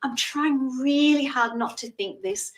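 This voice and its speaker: A Scottish woman in her sixties giving a live tarot reading, chatting in a gentle, reassuring way and using terms of endearment. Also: high-pitched, steady tone, unhurried, recorded from a distance. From Scotland. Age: 60s. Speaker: psychic tarot reader.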